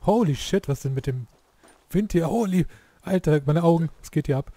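A hoarse man giving casual, excitable commentary while playing a game, often exclaiming and swearing at what happens. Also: fairly high pitch, moderate pace. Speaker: let's play youtuber.

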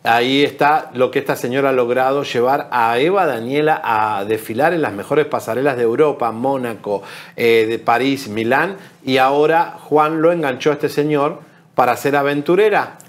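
A man's voice: medium pitch, normal speed. A middle-aged man with an Argentine accent, co-hosting a celebrity gossip show from a TV studio, scolding and sarcastic in heated commentary. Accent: Argentine Spanish. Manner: indignant, scolding, sarcastic asides. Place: bright TV studio set.

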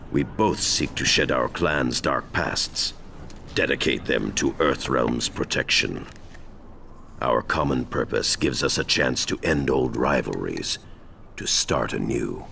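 An actor playing a solemn, honorable ninja grandmaster in a video game, speaking formally and with measure. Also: male voice, extremely low pitch, creaky, a little hiss or hum, exaggerated voice. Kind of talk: cinematic video game acting. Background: loud cinematic game music.

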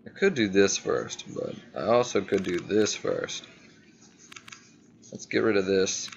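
A man's voice: sits low, gravelly, highs cut off, slight background noise. A man giving casual, playful gameplay commentary, talking to himself as he plays. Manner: playful, talks to himself, drawn-out exclamations. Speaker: gaming video host.

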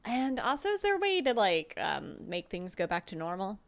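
A woman's voice: mid-range voice, very animated, moderate pace. A woman playing a tabletop game, chatting casually and playfully in character. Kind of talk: casual playful roleplay chat.

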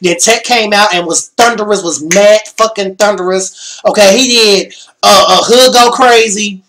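A young man, slightly hoarse, excitedly recapping a concert in a casual bedroom vlog, swearing and repeating himself. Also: sits high, unhurried. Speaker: vlogger. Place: small bedroom.

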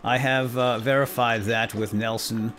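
A man's voice: medium pitch, flat delivery, measured pace, a bit bright. A man hosting a let's play, giving casual gameplay commentary with playful exclamations and asides.

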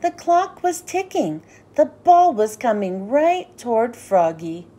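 A woman reading a children's storybook aloud in an animated, sing-song way with deliberate phrasing. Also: a little high. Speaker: children's book read-aloud host. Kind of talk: expressive storybook narration for young children.

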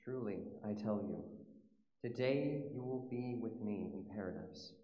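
A man reading the part of a solemn, condemned Jesus with gentle authority in a church dramatic scripture reading. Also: lowish voice, a little slow, lightly acted. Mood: solemn, forgiving. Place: large church, mic at a distance. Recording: muffled, echoey church PA, long reverb.

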